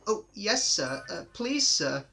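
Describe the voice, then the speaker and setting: A man voicing a timid little engine boy who squeaks and stammers politely. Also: animated, measured pace, pushed and bright, soft background music, exaggerated voice. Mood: nervous pleading. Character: small nervous green engine boy.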